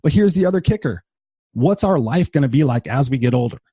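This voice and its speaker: A man in his fifties gives an unscripted motivational talk, blunt and sprinkled with swearing, leaning hard on repeated emphasis. His voice is husky and a little worn from being sick and tired, sitting at an everyday middle pitch. The sound is dry and close, with no room around it. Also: expressive, brisk, mellow, no room sound. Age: 50s. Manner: blunt and profane, emphatic repetition. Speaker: motivational podcast host.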